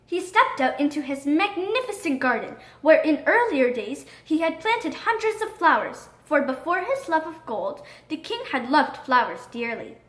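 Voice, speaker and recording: A woman narrating a scripted children's storybook in an expressive, dramatic read-aloud manner. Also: high-pitched, moderate pace, hardly any pauses. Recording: roomy echo.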